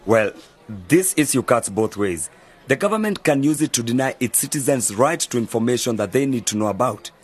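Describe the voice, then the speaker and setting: An easygoing mentor in a radio drama, bit husky, explains stuff carefully. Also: male voice, mid-range voice, animated, brisk. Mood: serious, explanatory. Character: knowledgeable, easygoing male media mentor advising young friends. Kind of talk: scripted educational radio drama dialogue.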